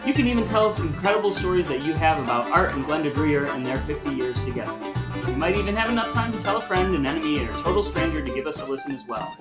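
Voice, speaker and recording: A man's voice: a little high, expressive, quick pace, loud music behind the voice. A man hosting a podcast in a conversational style, talking to listeners and reminiscing casually. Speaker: podcast host.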